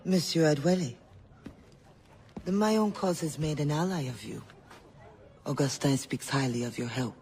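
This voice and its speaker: A man's voice: on the high side, a little slow, pauses often, darkish tone, some chatter behind. A man playing a shrewd, silken colonial informant, speaking with poised, teasing formality. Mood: cool, polite. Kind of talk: video game cutscene acting.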